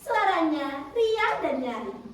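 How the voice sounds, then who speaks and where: A woman telling a children's story as theatrical scripted narration, with dramatic, deliberate phrasing. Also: sits high, sing-song. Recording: roomy, distant mic with background noise.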